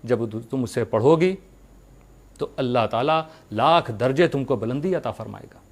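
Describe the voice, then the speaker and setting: A man giving a religious sermon, speaking earnestly to his followers with rhetorical questions and deliberate pauses. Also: medium pitch.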